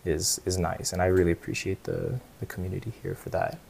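Brief: young man, very low voice, relaxed and reflective interview answers, from Colorado. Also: fairly quick, vocal fry, slight background noise. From United States, Colorado. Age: young adult. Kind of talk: casual conversation.

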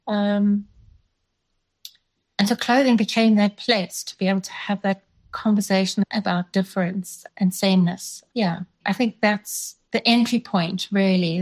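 A woman's voice: medium pitch, rough recording. A woman in her fifties from South Africa, raised speaking Dutch, recalling her past thoughtfully in an interview with frequent hedges and restarts. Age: 50s. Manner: thoughtful, many fillers and restarts. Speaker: guest. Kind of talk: reflective interview.

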